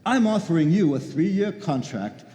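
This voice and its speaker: A man's voice: a little high, lively intonation, a little slow, mellow. An actor playing a domineering, scheming rich old man in a live radio play, with an oily, condescending manner. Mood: impatient. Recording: echoey hall, live stage.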